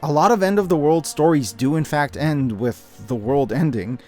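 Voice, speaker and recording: A man's voice: a little high, normal speed, darkish tone. A man narrating a scripted review with casual asides, speaking in an earnest, opinionated and emphatic way. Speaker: video essayist and media reviewer. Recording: music behind the voice. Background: music.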